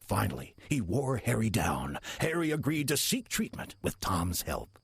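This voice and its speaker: Casting: sly demon in horror audio drama, low raspy voice, swooping inflection, mock-polite. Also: male voice, wildly swooping pitch, dry recording, heavily acted. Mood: smug persuasion. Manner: measured, insinuating, mock-polite. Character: sly, smooth-talking demon posing as lovable old church deacon.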